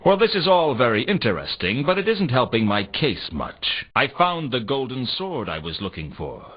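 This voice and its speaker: A man's voice: mid-range voice, very animated, moderate pace, husky. A man playing a world-weary, dryly sardonic private detective in a noir video game. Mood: dry, inquisitive. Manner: dry, sardonic. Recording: dull, lo-fi game audio.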